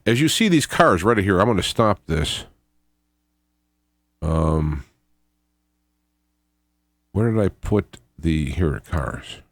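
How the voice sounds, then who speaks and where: A man talks through his own video report. The sound is very dry, with no room echo. His delivery is informal and rambling, halting as he rephrases himself. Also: low voice. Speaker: host of an independent radio show.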